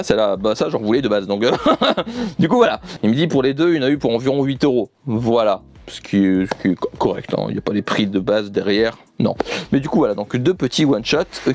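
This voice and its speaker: Animated young man chatting casually. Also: medium pitch, a little hiss or hum. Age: young adult. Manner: repeats himself, enthusiastic. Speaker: YouTuber presenting a manga unboxing. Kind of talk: casual unscripted vlog chat. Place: indoor room with manga shelves.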